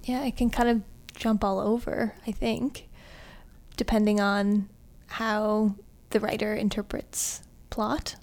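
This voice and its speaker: A woman's voice: medium pitch, level tone, slow pace, a little mellow. A woman co-hosting a podcast in a casual style. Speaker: podcast co-host.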